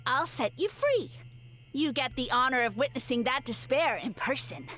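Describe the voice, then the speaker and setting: A woman's voice: high-pitched, lively intonation, moderate pace, a bit bright. A woman voicing a scheming mushroom creature who thinks itself a fallen god, in a game, speaking in grandiose, theatrical villain tones. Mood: menacing. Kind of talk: game voice acting.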